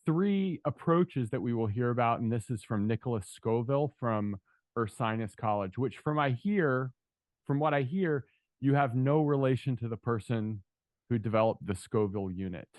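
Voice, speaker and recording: A man's voice: mid-range voice, lively intonation, moderate pace, darkish tone, no echo. Dry-sounding male seminar host. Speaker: seminar host introducing a speaker. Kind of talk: casual spoken introduction.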